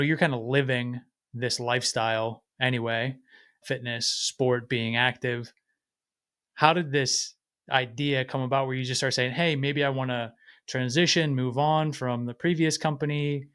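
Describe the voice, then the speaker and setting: A man hosting a podcast, reading a scripted intro then asking relaxed, friendly questions. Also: mid-range voice, clean tone. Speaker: podcast host.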